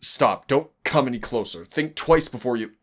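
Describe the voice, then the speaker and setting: A man's voice: medium pitch, expressive, moderate pace, fairly bright tone. A man playing a cocky, sardonic demon mentor in a scripted roleplay audio, teasing and exasperated yet fond. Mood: panicked, indignant.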